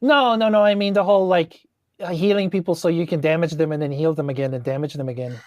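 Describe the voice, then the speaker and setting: A man's voice: on the high side, fast talker, no echo, muffled recording, lightly acted. A man playing a small, centuries-old forest gnome sorcerer in a tabletop game, rambling and tentative, a little defensive. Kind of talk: improvised tabletop role-play.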